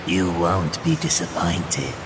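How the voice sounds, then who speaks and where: An actor playing a sly demon merchant in a video game, drawing out words in an oily, ingratiating and sinister manner. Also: male voice, low voice, fairly even intonation, slow. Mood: sly, coaxing. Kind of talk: video game cutscene acting. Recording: clear ambient noise behind voice.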